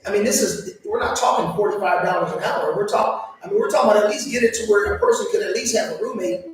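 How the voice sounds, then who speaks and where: A man's voice: sits high, fast talker. A man in his late forties co-hosting a casual chat, joking and conversational. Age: 40s. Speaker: co-host. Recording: echoey room, far from mic.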